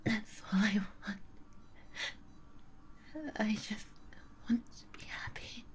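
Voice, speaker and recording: Woman acting slow, tearful, halting devoted woman. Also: medium pitch, level tone, lightly acted. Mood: remorseful. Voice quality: soft, breathy, often dropping to a whisper. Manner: halting, stammering, breaking up mid-sentence. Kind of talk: ASMR audio roleplay acting.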